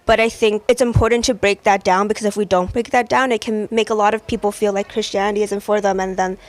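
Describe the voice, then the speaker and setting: A woman in her twenties talks earnestly as a guest on a casual podcast, her voice at an everyday middle pitch. She speaks thoughtfully and often pauses on ums and likes. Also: fairly even intonation. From China. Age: 20s. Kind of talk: casual conversation.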